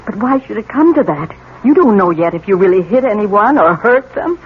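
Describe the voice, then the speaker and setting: A woman's voice: mid-range voice, animated, normal speed, close to an everyday voice. An actress playing a loving, morally firm middle-aged mother in a 1970s radio drama. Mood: pleading, tender. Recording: tinny, lightly noisy old radio broadcast.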